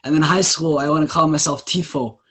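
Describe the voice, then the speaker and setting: A man's voice: fairly high pitch, fairly even intonation, fairly quick, a bit bright. A young adult man from Botswana, a podcast guest, talking casually and hesitantly with many ums and restarts.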